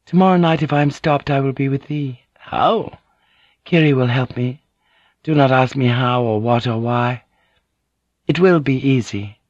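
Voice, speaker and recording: A man's voice: mid-range voice, measured pace, long pauses, a little mellow, no echo, lo-fi sound, voice pushed up, close to an everyday voice. A man voicing a devoted, proud young noblewoman, tender yet fiery, with formal, archaic, earnest speech in an audiobook. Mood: tender, reassuring. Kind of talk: audiobook character dialogue.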